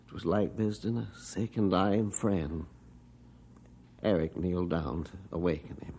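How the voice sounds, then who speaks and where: A grieving middle-aged father from an old radio play, telling his story in a deep, low voice. He speaks earnestly and from the heart. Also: normal speed, warm tone, a little hiss or hum. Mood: somber. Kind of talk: 1950s radio drama acting.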